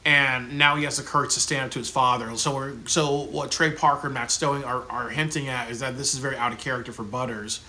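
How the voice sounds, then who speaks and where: A man's voice: mid-range voice, steady tone, fairly quick, hardly any pauses, bright, forceful tone, slight background noise. A man giving a casual, rambling spoken review, full of fillers like "um" and "you know" and frequent restarts. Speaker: video reviewer.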